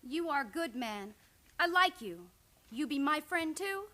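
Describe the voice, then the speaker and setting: A woman playing a proud, excitable young Comanche wife who speaks in halting, simple phrases in a western radio play. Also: high-pitched, exaggerated voice. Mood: earnest.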